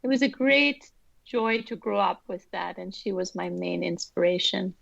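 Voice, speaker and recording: A woman's voice: medium pitch, moderate pace, gravelly, fairly bright tone, no room sound. A middle-aged woman from Austria, a guest answering interview questions thoughtfully with light laughs. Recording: dull lo-fi sound, dry.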